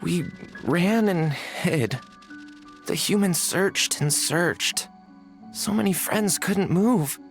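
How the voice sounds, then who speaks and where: A woman voicing a gruff, anxious and wary tanuki spirit in a video game, with a raspy voice. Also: on the low side, slow, soft background music.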